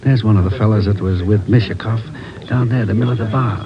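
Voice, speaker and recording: An actor playing a barroom informant in a 1950s radio crime drama, speaking low and hushed. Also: male voice, fairly even intonation, quick pace, close to an everyday voice. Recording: dull old radio recording, bar crowd.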